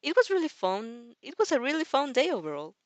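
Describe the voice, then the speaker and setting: A woman's voice: sits high, big pitch swings, brisk, no room sound, pitched above the performer's own voice. A woman voicing a young vampire girl in a fanfic audio drama, playful and affectionate. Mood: cheerful, affectionate.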